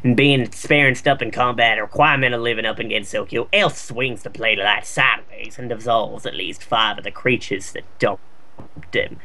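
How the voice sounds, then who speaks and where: A man's voice: mid-range voice, fairly even intonation, normal speed, gravelly, pushed and bright. A man reading fan fiction aloud for comedy, slangy and sweary, with casual jokey asides.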